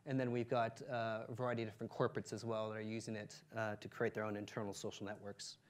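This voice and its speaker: A man giving an energetic startup pitch presentation, with many fillers, lifting his voice on key points. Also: quick pace. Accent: non-Ukrainian English.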